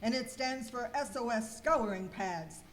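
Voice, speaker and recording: Woman playing a bold high-voiced cleaning superhero. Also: unhurried, close to an everyday voice. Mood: defiant. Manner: declamatory, mock-heroic. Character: confident female cleaning superhero, bold and wisecracking. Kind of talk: amateur comic radio skit. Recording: clearly noisy live room recording.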